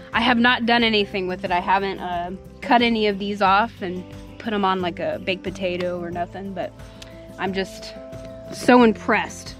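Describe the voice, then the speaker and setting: A woman in her thirties chatting casually outdoors in her garden, giving an enthusiastic update with many fillers. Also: mid-range voice. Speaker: homesteading vlogger. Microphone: handheld camera at arm's length.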